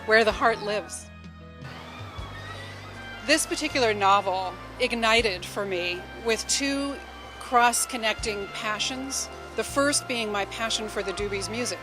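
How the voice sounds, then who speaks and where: A woman's voice: a little high, unhurried, over music. A woman speaking as an interview guest, telling stories in an enthusiastic, effusive, conversational way. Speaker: novelist and radio drama writer, interview guest.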